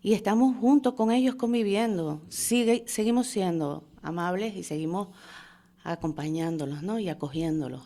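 A woman's voice: on the low side, normal speed, warm tone. A woman from the Canary Islands, speaking as a guest in an earnest, agreeable way. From Santa Cruz de Tenerife. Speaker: guest, tourism official. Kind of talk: conversational interview.

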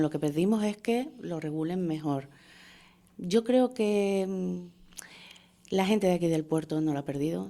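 A woman's voice: mid-range voice, warm tone. A woman from the Canary Islands, speaking as a guest in an earnest, agreeable way. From Santa Cruz de Tenerife. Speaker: guest, tourism official. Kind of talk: conversational interview.

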